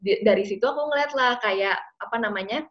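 A woman's voice: on the high side, brisk. A woman, chatting casually and animatedly as an interview guest, switching fluently between two languages. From Indonesia, raised partly abroad in London and Ethiopia. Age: 20s. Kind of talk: casual chat mixing Indonesian and English. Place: small plain room indoors. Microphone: video call. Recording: dull lo-fi video call sound.

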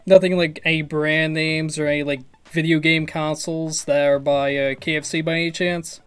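A man's voice: on the high side, flat delivery, normal speed, a bit bright, smooth, faint music behind. A man in his twenties making a casual prank phone call, hesitant and rambling as if confused. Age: 20s. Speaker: prank caller, YouTube video host. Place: small room at home, indoors.

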